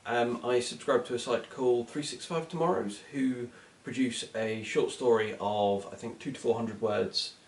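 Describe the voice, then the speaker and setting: A man's voice: mid-range voice, moderate pace, few pauses. Distant, echoey man, hesitant book reviewer. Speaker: book reviewer co-hosting short fiction recommendations. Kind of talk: casual book chat. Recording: echoey room, distant from mic.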